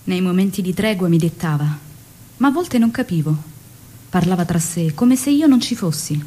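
An actress playing an earnest, stubborn young student turned guerrilla, in theatrical dialogue and recollection. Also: female voice, lowish voice, expressive, normal speed, mellow. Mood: reminiscing. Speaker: stage actor. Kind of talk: theatrical dialogue and remembered narration.

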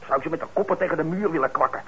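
A man's voice: fairly high pitch, racing, close to an everyday voice. An actor in a Dutch radio play playing a troubled young man on probation, blunt and hesitant, resentful yet eager. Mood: defensive, bitter. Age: young adult. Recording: muffled, noisy old radio recording.

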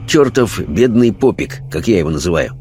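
Man acting a cheeky, rough sailor ghost. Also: deep voice, lively intonation, moderate pace, a little mellow, slight background noise. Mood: irreverent. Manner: coarse, colloquial, irreverent. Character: rough, cheeky, slangy dead sailor, male.

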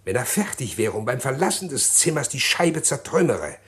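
A man narrating a radio crime drama in the first person, with a measured, reflective delivery. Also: medium pitch, very animated.

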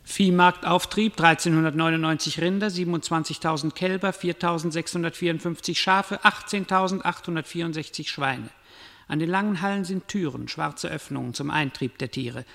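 A man's voice: fairly high pitch, normal speed, creaky, fairly bright tone. A man playing a stern, mocking narrator of doom, declaiming solemnly and incantatorily in a literary radio drama. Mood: solemn, ominous. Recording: long cavernous reverb.

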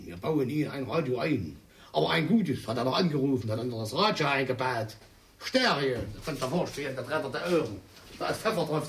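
A man's voice: medium pitch, a little hiss or hum. A man telling a casual anecdote in a boastful, colloquial way. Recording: distant field recording with music behind.

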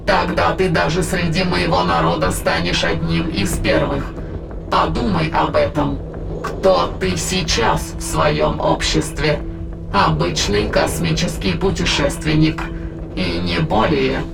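A man's voice: a little high, big pitch swings, a little slow, music in the background, voice pushed up, exaggerated voice. A man playing a scheming demon in a beast's body, insinuating and imperious, in an audio drama. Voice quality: gravelly, creaky.

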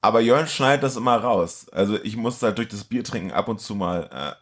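A man's voice: fairly low pitch, animated, brisk. A man co-hosting a film podcast in a casual, chatty style. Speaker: podcast co-host.

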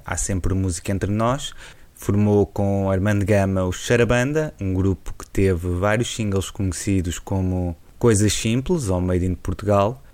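A man hosting a podcast, reading scripted narration in a calm, measured way. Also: low-pitched, dry recording. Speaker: podcast host.

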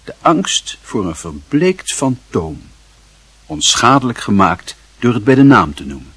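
A man with a husky voice reading scripted literary narration for a radio play. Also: medium pitch, sing-song, unhurried, a bit bright, no room sound.

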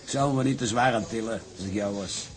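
A man's voice: fairly low pitch, a little fast, lightly acted. An actor playing an easygoing, talkative seaman in a radio drama, wry and reassuring. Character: easygoing, talkative male seaman, pragmatic confidant. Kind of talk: Dutch radio drama acting.

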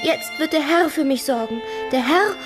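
A devout young peasant woman acted by a woman in a radio play. Her high voice is distressed and earnest, close to tears, with music playing behind. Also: normal speed, lightly acted. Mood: tearful resolve. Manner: tearful, earnest. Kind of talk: German radio drama acting.

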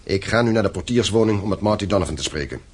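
A man's voice: lowish voice, animated, fast, slightly rough. An actor in a radio play playing a stern, domineering middle-aged father who owns a mine, commanding and reproachful. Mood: irritated, commanding. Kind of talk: Dutch radio play acting.

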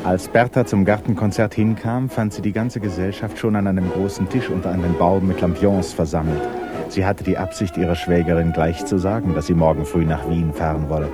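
A man narrating a literary 1960s German radio play in a measured, composed manner. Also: low voice, fairly even intonation, loud music behind the voice, lightly acted.

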